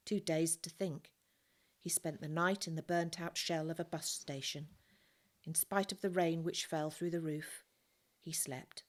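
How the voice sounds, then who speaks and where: A woman teacher reading a children's novel aloud, calm and measured. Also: low-pitched. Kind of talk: storybook reading.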